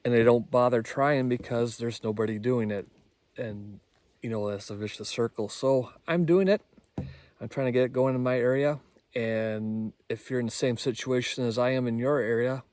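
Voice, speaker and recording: A man's voice: mid-range voice, fairly even intonation, moderate pace, darkish tone, dry recording. Casual man, amateur radio vlogger. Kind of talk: casual vlog talk.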